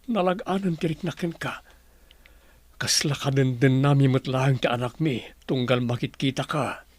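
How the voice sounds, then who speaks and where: A man's voice: mid-range voice, normal speed, dry recording, close to an everyday voice. A man playing a courteous, devoted and worried middle-aged husband in an Ilocano radio drama. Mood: sorrowful, worried.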